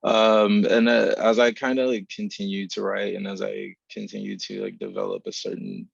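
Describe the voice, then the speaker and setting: A man speaking reflectively as a writer on a panel, deliberate and halting as he searches for precise words. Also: fairly low pitch, animated, vocal fry, husky, dry recording. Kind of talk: reflective panel talk about craft. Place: remote video call. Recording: dull video-call audio.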